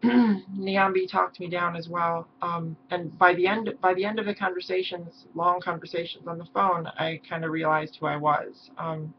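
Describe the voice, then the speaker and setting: A hoarse young woman talking haltingly in a personal vlog, with many pauses and ums. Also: lowish voice, fairly even intonation. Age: young adult. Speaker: vlogger. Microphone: camera mic. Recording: dull lo-fi mic with faint hiss.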